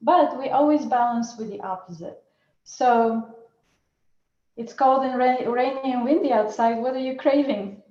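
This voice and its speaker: A woman's voice: high-pitched, unhurried, warm tone. A woman teaching Ayurvedic cooking over a video call, explaining patiently and often restarting her phrases. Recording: roomy and muffled video call audio.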